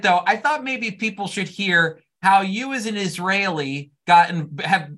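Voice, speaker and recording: A man hosting an interview, friendly and halting with fillers. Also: high-pitched, sharp tone. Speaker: podcast host. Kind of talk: interview conversation.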